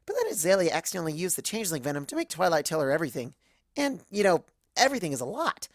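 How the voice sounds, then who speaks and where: A woman's voice: fairly low pitch, sing-song, rapid-fire, no room sound, studio quality. A woman voicing a hyper, cheerful, scatterbrained cartoon pony who rambles gossipy first-person fan fiction narration. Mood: chatty, rambling. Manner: bubbly, rambling, gossipy, exclamatory.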